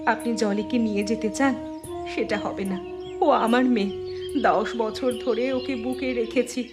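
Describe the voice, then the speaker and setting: A woman's voice: on the high side, steady tone, measured pace, over loud music, close to an everyday voice. A woman playing a defiant, protective village mother in a cartoon drama, speaking firmly and with emotion. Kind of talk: dramatic cartoon story acting.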